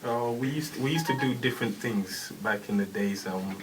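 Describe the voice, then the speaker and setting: A man in his late twenties from Zambia, a rapper guest speaking in a relaxed, easygoing way in a radio interview. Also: medium pitch, moderate pace. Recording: distant mic with background noise.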